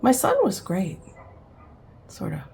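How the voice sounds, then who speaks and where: A woman's voice: lowish voice, big pitch swings, slow pace, gravelly, a little mellow, faint music behind. A woman in her fifties, rambling and chatty, talking casually to camera at home, with frequent laughs and fillers. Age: 50s. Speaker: vlogger.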